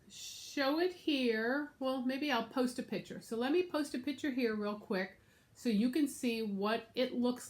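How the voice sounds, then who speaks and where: An American woman with a nasal, stuffed-up voice from being sick, chatting casually to camera as she shows her craft projects. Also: fairly high pitch. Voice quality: nasal, congested. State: sick with congestion. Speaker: craft vlogger and show host. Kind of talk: casual show-and-tell chat to camera. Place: room at home.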